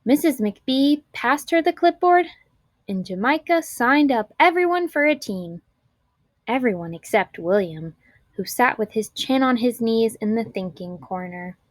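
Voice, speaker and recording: A woman narrating a children's storybook aloud in an expressive, clearly enunciated storytelling manner. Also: a little high, unhurried, no room sound.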